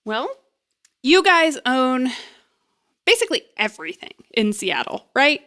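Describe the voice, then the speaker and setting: A young woman bluffing eagerly through an audio drama scene. Her voice sits high and swings about with lots of expression. She is flustered and hesitant, tending to ramble nervously. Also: big pitch swings, measured pace, a bit bright, exaggerated voice. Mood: boastful, bluffing. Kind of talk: naturalistic audio drama acting.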